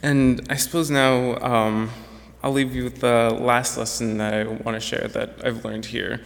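A man's voice: mid-range voice, little pitch movement, unhurried, vocal fry. Young male student speaker, earnest and reflective, mid-range voice, long hall reverb. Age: young adult. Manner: earnest, reflective, with some restarts. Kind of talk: prepared speech to an audience.

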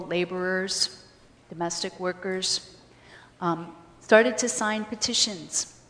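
Woman giving a talk in a reverberant hall. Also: medium pitch. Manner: explanatory, storytelling. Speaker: guest speaker, history lecturer. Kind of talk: informal public talk answering audience questions. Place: large reverberant hall, public convocation.

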